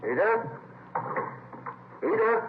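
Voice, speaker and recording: A man's voice: extremely high pitch, huge pitch swings, extremely slow, vocal fry, full character voice. A man playing a panicking father searching for his son in a vintage radio drama, urgent and insistent. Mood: alarmed, urgent. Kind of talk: 1950s radio drama acting. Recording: tinny, hissy vintage radio recording.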